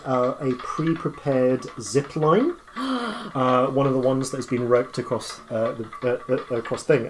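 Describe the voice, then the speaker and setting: A man running a tabletop roleplaying game, improvising his narration in a halting way as game master. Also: mid-range voice, darkish tone, a little hiss or hum.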